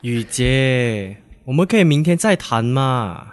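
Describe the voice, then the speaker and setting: Slow, halting young boyfriend played by a man. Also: mid-range voice, expressive. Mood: evasive, curt. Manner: halting, hesitant. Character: evasive young two-timing boyfriend, cornered and flustered. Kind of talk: radio drama acting.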